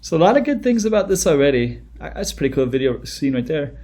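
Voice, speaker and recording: A man's voice: on the high side, big pitch swings, fast. A man in his thirties hosting a music reaction video, talking casually and rambling with many fillers and restarts, close to a webcam indoors.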